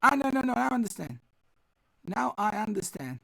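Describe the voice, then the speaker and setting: A man with a rough, husky voice teaching a live online class, talking haltingly as he works on his computer audio. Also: high-pitched, sing-song, a bit bright, dry recording. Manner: halting, restarts while fixing audio.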